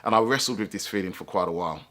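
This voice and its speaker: Low-voiced reflective man. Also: a bit bright. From England, London. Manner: reflective, thoughtful, pausing to search for words. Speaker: storyteller. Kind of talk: personal life story told to camera.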